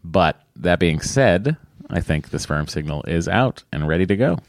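A man co-hosting a podcast, giving thoughtful, measured answers to listener letters. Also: deep voice, animated, no room sound. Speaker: podcast co-host. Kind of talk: casual podcast chat.

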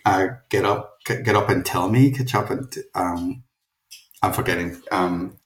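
A man's voice: low voice, fairly even intonation, measured pace, reverberant, low-quality recording. A middle-aged man from Mexico with a Mexican accent, a film producer talking casually in an interview and rambling through many restarts and fillers.

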